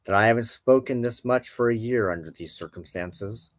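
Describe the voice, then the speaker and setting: A man's voice: medium pitch, normal speed, dry recording, lightly acted. A man voicing a king's wife in a storybook tale, a frank, formal and reproachful noblewoman. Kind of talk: storybook character dialogue in narration.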